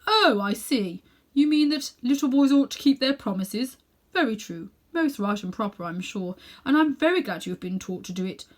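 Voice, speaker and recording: Woman acting middle-aged gentleman magician, pompous, condescending, sinister, self-important, drawn-out phrasing, storybook villain. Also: on the high side, expressive, pitched above the performer's own voice, close to an everyday voice. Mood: pompous, lofty. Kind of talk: children's storybook character reading.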